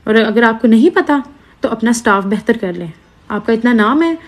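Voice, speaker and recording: A woman's voice: on the high side, expressive, moderate pace. Indignant young woman. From Pakistan. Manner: earnest, indignant, pleading. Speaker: social media creator. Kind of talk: selfie video complaint to followers. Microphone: phone held at arm's length.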